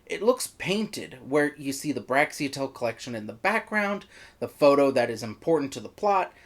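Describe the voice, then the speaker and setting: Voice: mid-range voice, big pitch swings, normal speed. A reviewer narrating a scripted video review in an opinionated way, with wry, exasperated asides. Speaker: reviewer, host.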